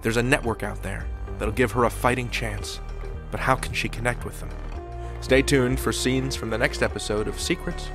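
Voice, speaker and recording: A man hosting a podcast with measured, polished scripted narration. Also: medium pitch, fairly even intonation, music in the background. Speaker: podcast host.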